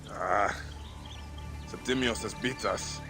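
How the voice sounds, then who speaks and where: An actor voicing a grave male warrior who speaks in a low, measured, dry way. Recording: game scene with loud music.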